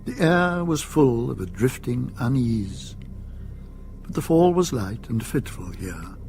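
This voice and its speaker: An actor as the solemn storyteller of a radio drama, narrating in a deliberate, literary manner. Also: male voice, medium pitch, big pitch swings, vocal fry, a little mellow, faint music behind, heavily acted. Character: solemn, atmospheric male storyteller of medieval mystery.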